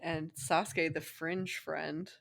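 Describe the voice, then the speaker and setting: A woman with a slightly low voice reads a story aloud. Her narration is expressive and she often breaks into casual, chatty comments. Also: slow, gravelly. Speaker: fan fiction reader.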